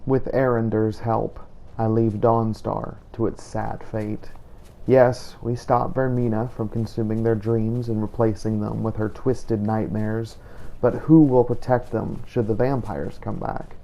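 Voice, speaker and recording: A man with a low, mellow voice reads a solemn in-character adventure journal. His delivery is very even, with a creaky edge, as a grave monster hunter weighing his duty. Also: poor audio. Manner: solemn, measured storytelling. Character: grave, duty-torn male vampire hunter and Dragonborn. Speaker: game player narrating a roleplay playthrough. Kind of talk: in-character scripted journal narration.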